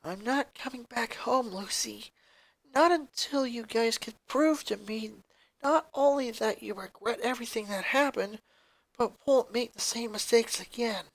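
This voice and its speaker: A hurt young boy acted out by a story narrator speaks in a raised pitch, clipped and accusing. He is bitter and unforgiving, and the sound is very dry. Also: unhurried, gravelly, no room sound, low-quality recording. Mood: cold, stern.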